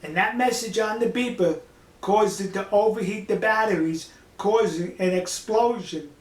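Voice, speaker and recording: Older guy w high voice doing folksy emphatic commentary, pretty echoey room. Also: moderate pace. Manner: folksy, emphatic, rhetorical questions. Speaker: online political commentator. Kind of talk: casual monologue commentary to viewers.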